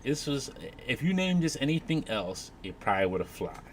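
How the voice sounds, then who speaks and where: Animated man chatting on a live panel. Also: mid-range voice, normal speed. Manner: emphatic, acts out imagined remarks. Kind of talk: casual panel chat. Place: convention panel room. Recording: live panel with background noise.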